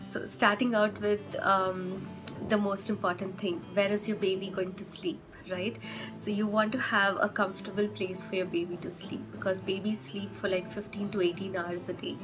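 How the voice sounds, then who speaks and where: A young woman vlogging with chatty parenting advice, her voice hoarse from a cold, often stopping to rephrase with fillers. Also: medium pitch, little pitch movement, normal speed, over music, low-quality recording. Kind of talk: casual advice vlog.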